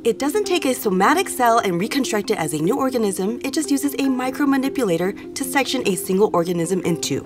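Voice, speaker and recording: A woman plays a stern scientist mother in a family audio drama. Her voice is on the high side and very animated, exasperated yet precise in her explanations. Music runs behind her. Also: quick pace, few pauses, close to an everyday voice. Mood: precise, businesslike.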